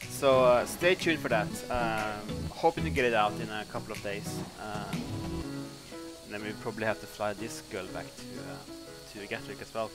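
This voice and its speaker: A young man with a Norwegian accent casually commenting on his flight-sim gameplay, relaxed and rambling with lots of fillers. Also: lowish voice, moderate pace. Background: music playing underneath.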